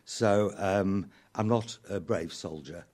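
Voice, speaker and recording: A middle-aged or older man with a South African accent, talking reflectively as a radio interview guest in thoughtful, halting, measured phrases. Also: low voice, a little mellow. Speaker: interview guest, author and lyricist.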